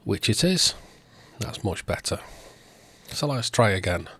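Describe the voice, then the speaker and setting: A man hosting a repair video, talking through the work step by step in short, unhurried phrases. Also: on the low side, very animated, fairly bright tone, husky. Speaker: host of electronics repair videos. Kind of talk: narrated repair walkthrough.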